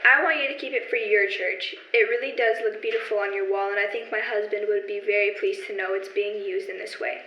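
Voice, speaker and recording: A woman's voice: medium pitch, fairly even intonation, a little fast, hardly any pauses, a little hiss or hum, lightly acted. A woman playing a gracious, grateful wife long parted from her husband, in an amateur old-time radio play. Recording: echoey, muffled, music behind.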